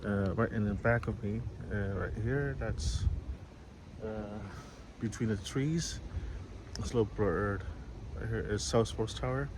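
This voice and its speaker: Clear outdoor noise surrounds a man from Vietnam with a fairly low voice. He talks off the cuff, as if to a camera, in a halting flow. Phrases often break off and get repeated as he explains things. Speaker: vlogger and software developer. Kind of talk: informal unscripted monologue to camera. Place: outdoors, city view behind.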